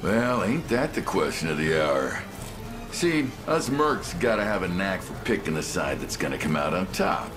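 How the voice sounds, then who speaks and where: A man's voice: low voice, sing-song, normal speed, music in the background. A man playing a wry, roguish mercenary boss in a video game, talking in folksy slang full of bravado. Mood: wry, calculating. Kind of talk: video game cutscene voice acting.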